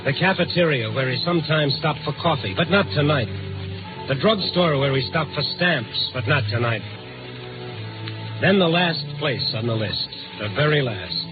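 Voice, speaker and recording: A man playing a brisk, world-weary newspaper reporter in a 1950s radio drama, with clipped, urgent delivery. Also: a little high, animated, natural voice. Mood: tense, weary. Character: brisk, world-weary male newspaper reporter narrating his night beat. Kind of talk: 1950s radio drama acting, hard-boiled narration. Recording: lo-fi 1950s radio broadcast, loud music.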